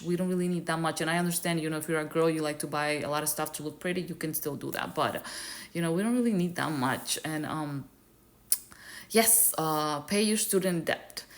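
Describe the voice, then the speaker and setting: A young woman from Ecuador giving rambling, earnest advice to her viewers in a casual vlog, with lots of fillers. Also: on the low side. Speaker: YouTube creator. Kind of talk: casual vlog talk to camera.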